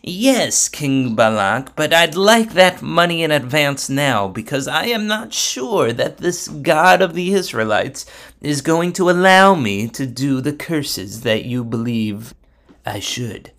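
A man's voice: on the high side, animated, takes it slowly, fairly bright tone, heavily acted. Man acting a flustered, greedy, reluctant curse-maker. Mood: determined, greedy. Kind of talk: storybook character voice.

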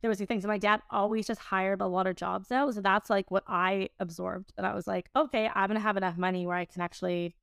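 Woman, late twenties, clean smooth voice, dry close sound, casual rambling podcast chat. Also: mid-range voice, fairly even intonation, quick pace, hardly any pauses, fairly bright tone, no echo. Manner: informal, rambling, peppered with "like". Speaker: podcast host and business owner.

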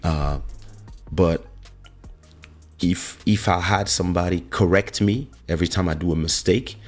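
A man from Congo hosting a podcast, talking casually in a relaxed, rambling way and often correcting himself. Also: low-pitched, a little slow, faint music behind. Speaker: podcast host. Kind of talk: casual monologue.

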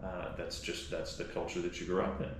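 A man co-hosting a podcast, speaking in a halting, reflective way with frequent restarts. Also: low voice, completely flat delivery. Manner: halting, restarts often, many fillers. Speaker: podcast co-host. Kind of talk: reflective conversational chat. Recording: very echoey room with faint noise.

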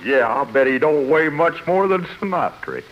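A man's voice: on the high side, lively intonation, normal speed, lightly acted. A man playing a plain-spoken, confident husband in a 1950s radio comedy. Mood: confident, easy. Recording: muffled, noisy old radio broadcast.

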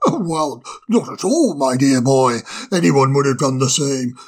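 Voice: medium pitch, animated, a little slow, pitched below the performer's own voice. A storyteller voicing a kindly, pompous old male owl professor who chuckles and stammers fondly. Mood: genial. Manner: chuckling, hesitant, fond of "my dear boy". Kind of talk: storybook reading.

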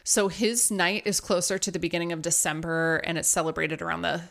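A woman's voice: fairly low pitch, level tone, brisk, vocal fry, a bit bright. A woman co-hosting a podcast, casually presenting researched facts with a playful, joking manner. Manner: playful, jokey, laughs often. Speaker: podcast co-host.

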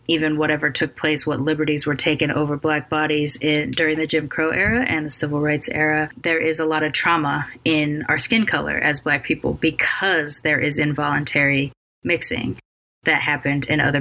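A woman's voice: sits low, pushed and bright. Tired, scratchy-voiced woman podcast host. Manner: halting, with many ums and restarts. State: scratchy voice after a cold; very tired, late at night. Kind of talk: casual solo podcast intro.

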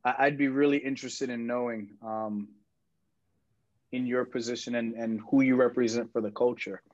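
A man's voice: medium pitch, steady tone, moderate pace, a little mellow. A man on a panel asking questions in a casual conversational way, with slightly muffled, lo-fi sound.

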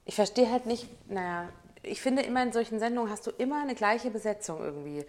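A woman's voice: on the high side, animated, fast talker, darkish tone. Woman podcaster dishing gossip, wry and chatty. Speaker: podcast co-host. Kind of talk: casual chat about a reality show.

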